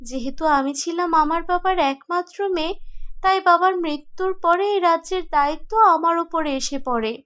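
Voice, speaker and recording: A woman playing a gentle, wistful princess ghost in a cartoon, speaking earnestly and solemnly. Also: extremely high pitch, normal speed. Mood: solemn, relieved. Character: gentle ghost princess, seven hundred years dead, dutiful, wistful. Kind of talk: cartoon voice acting.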